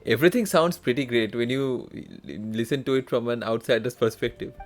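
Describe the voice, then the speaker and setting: A woman with a very deep voice comments casually as she streams a game. Her phrasing is hesitant, with short murmured reactions. Game music plays faintly behind her. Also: a little fast.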